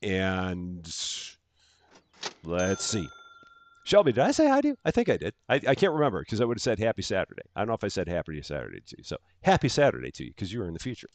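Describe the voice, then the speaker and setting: A man's voice: low-pitched, huge pitch swings, quick pace, a little mellow, a little hiss or hum. A middle-aged man from the Chicago area with a general American accent, hosting a live gaming stream and greeting viewers by name in upbeat, casual chat full of fillers and restarts. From Chicago area, USA.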